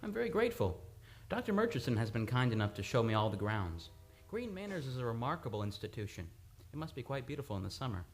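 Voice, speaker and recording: A man playing a youthful, charming but edgy chief doctor, courteous and expressive, in an old-time radio play. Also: medium pitch, fast talker, hardly any pauses, soft background music.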